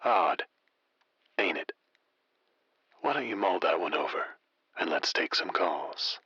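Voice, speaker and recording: Deep, husky late-night radio host. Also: male voice, lively intonation, sharp tone, no room sound, lo-fi sound, heavily acted. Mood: conspiratorial. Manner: deliberate, intimate, conspiratorial. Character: folksy, mysterious late-night strange-tales radio host.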